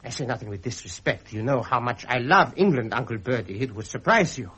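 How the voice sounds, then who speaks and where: An actor playing a brash, opinionated young German prince in a 1970s radio drama, speaking in a formal, pompous, insistent manner. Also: male voice, medium pitch, expressive, brisk, fairly bright tone, breathy edge. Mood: earnest, formal. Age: young adult.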